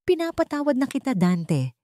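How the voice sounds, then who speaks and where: A woman's voice: high voice, sing-song, normal speed, warm tone, no room sound, voice pushed up. Forgiving mother played by a woman, Filipino accent, earnest, direct, tender but steadfast, radio-drama role, dry sound. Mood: resolute.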